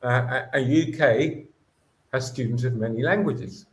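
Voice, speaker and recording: A man's voice: medium pitch, unhurried. Passionate man in an echoey room. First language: Greek. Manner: earnest, passionate, with frequent restarts. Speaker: guest educator, former school principal. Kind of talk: conversational discussion.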